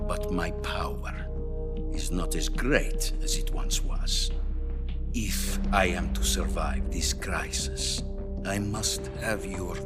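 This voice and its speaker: An actor playing a stern Soviet premier in a game cutscene, speaking measured and grave. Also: male voice, low voice. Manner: measured and grave, rising to angry. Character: stern Soviet premier man, cautious and demanding. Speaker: Soviet leader on a hotline call. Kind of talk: video game cutscene acting. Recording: game audio with score behind.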